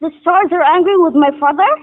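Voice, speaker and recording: A woman's voice: very high voice, brisk, no room sound. A woman playing a young, devoted daughter in a stage drama, speaking formal, pleading lines in a declamatory way. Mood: anxious, pleading. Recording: muffled phone-like audio.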